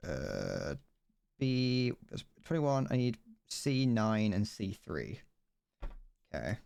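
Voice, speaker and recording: A man's voice: lowish voice, a little mellow, a little hiss or hum. A young man streaming as he builds a model kit, chatting casually, rambling, correcting himself and stumbling over his words.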